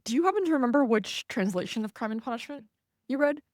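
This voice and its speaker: A podcast host whose first language is Russian, explaining in a measured, chatty way during a casual interview. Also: mid-range voice, expressive, dry recording, clean studio sound.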